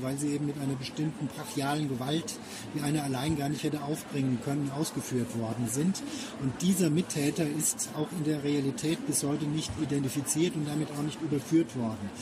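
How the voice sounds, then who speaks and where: Ein Mann erklärt als interviewter Autor sein Werk, bedacht und in ausführlichen, förmlichen Sätzen. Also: male voice, fairly high pitch, background noise. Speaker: author being interviewed. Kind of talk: explanatory interview talk.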